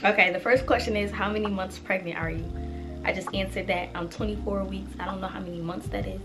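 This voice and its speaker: A woman, chatting casually to camera as a vlogger, animated and talking straight to viewers, a little stuffy from a cold. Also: medium pitch, fast talker, music in the background. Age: 20s. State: congested with a cold.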